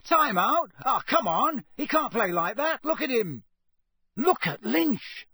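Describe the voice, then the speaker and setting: A man voicing an excitable teenage boy wizard in an audiobook, a loud sports fan who yells in exclamations. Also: extremely high pitch, slow pace, breathy edge, dry recording, studio quality, pitched above the performer's own voice, heavily acted. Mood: urgent excitement. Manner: yelling, exclamatory.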